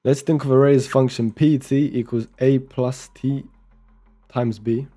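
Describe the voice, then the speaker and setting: A man's voice: medium pitch, steady tone, measured pace, mellow, faint music behind. A young man from Romania, casually commenting while live coding and muttering code aloud as he types, with chatty asides. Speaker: programming streamer.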